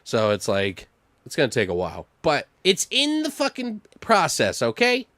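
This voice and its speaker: A man in his thirties co-hosting a podcast in casual, slangy, animated chat with frequent swearing. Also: on the high side, moderate pace, dry recording. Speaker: podcast co-host. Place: home studio room.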